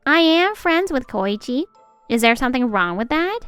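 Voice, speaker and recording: A woman's voice: high-pitched, very animated, normal speed, fairly bright tone, clean tone, dry recording, soft background music. A woman playing a perky, bold young singer in a manga story. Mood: defiant.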